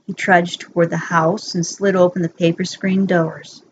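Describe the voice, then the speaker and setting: A woman's voice: fairly low pitch, flat delivery, normal speed. A woman narrating fan fiction as a bedtime story, reading from a script in an amateur recording. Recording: dull lo-fi home recording, faint noise.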